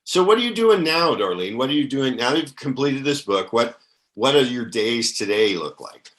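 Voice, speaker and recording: A man's voice: medium pitch, sing-song, normal speed, a bit bright. A man hosting an interview podcast, encouraging his guest with affirming words and hesitant fillers. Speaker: podcast host.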